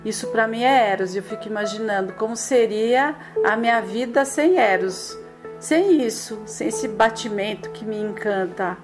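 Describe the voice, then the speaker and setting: A woman in her sixties speaking in a heartfelt, expressive way as a guest in a group radio talk. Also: mid-range voice, moderate pace, over music. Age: 60s.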